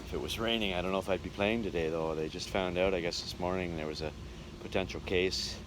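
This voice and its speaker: Deep-voiced man vlogging over clear background noise. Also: fairly even intonation, fast talker. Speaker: touring musician vlogging. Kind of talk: casual vlog narration. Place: outdoors and in a vehicle. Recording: noisy outdoor and vehicle ambience.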